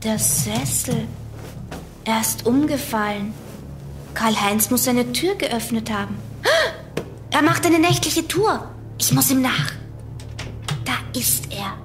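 An inquisitive, plucky girl in a children's radio play. She speaks in a high, very animated voice and takes her time over her words, with music clearly behind her. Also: slow, long pauses. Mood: tense, watchful. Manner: animated, expressive.

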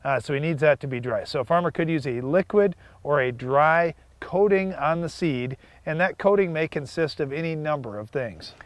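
Man co-hosting a farm show, slightly higher voice. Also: lively intonation, moderate pace, few pauses, dry recording. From United States. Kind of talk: scripted educational farm talk. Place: outdoors in a harvested field.

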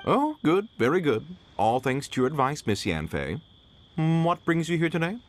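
An actor playing a courteous, eager merchant in a video game, with a polite, formal and obliging manner. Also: male voice, a little high, big pitch swings, normal speed. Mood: flattered. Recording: game music behind the voice.

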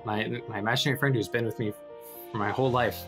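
A man playing a tabletop roleplaying game, improvising casually and haltingly as he thinks aloud. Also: medium pitch, fairly even intonation, over music.

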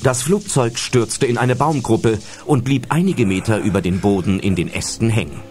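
A man's voice: medium pitch, moderate pace. A man reading scripted narration for a German audio drama. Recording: loud music under the voice.

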